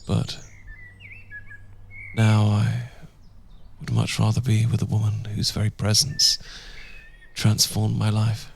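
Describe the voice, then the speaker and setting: A British man playing a tender, well-born Regency husband in a romantic ASMR roleplay, speaking softly and formally with affection, often dropping to a whisper. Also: on the low side, monotone, takes it slowly, vocal fry, pauses often, exaggerated voice. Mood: warm, courtly. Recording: noisy, birdsong and outdoor sounds behind. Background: birdsong.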